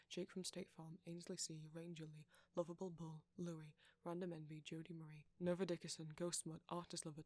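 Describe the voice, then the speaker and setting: A man softly reading out a long list of supporter names as an audio roleplay creator, sometimes dropping into a whisper. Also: fairly high pitch, no room sound. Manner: soft-spoken, slipping into whispers at times.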